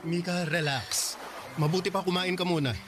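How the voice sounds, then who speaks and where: A man acting as a calm young man in a radio drama, patiently explaining and reassuring. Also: high-pitched, normal speed, music in the background, natural voice.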